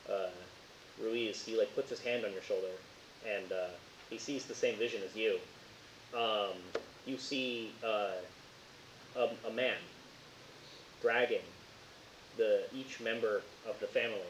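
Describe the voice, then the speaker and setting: A man improvising narration as a tabletop horror game master, casual and halting as he searches for words. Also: lowish voice. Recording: roomy, dull, hissy home recording.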